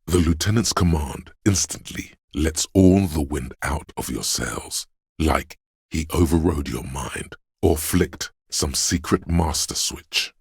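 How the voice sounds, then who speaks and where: A domineering inner voice of authority from a detective video game. The voice is exceptionally deep, with a heavy husky rasp, recorded close and dry. It delivers weighty, imperious narration like orders that expect obedience. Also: male voice, slow pace, no echo, heavily acted. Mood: measured, imperious. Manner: commanding, imperious, pompous.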